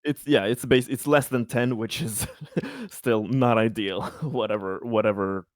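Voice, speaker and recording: A man playing a tabletop game, discussing it casually in a halting, tentative way. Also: medium pitch, expressive, no echo, clean studio sound.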